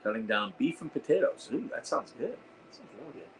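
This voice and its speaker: A hoarse man hosting a casual livestream, rambling with lots of fillers and reading out the chat. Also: mid-range voice, sing-song, fairly quick, a little hiss or hum, low-quality recording. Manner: rambling, reads chat aloud, frequent fillers. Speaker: host of a veterans livestream. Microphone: iPad.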